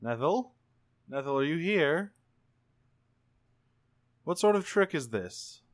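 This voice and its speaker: A man's voice: a little high, sing-song, slow, pitched above the performer's own voice. A man voicing a wary, demanding woman knight in a tabletop roleplaying game. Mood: alarmed, demanding.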